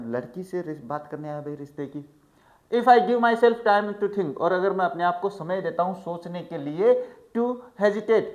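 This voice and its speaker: A male teacher in a chatty lesson, who reads English lines slowly and then explains each one in Hindi. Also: sits high. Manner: chatty, addresses students as "bhai". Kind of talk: line-by-line textbook explanation.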